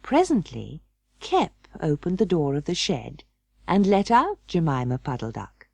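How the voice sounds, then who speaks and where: A woman reads a children's storybook aloud with dramatic expression. Her voice sits a little low but swings widely in pitch. She takes her time over each phrase, in a very dry recording. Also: wildly swooping pitch, measured pace, vocal fry, dry recording. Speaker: audiobook narrator.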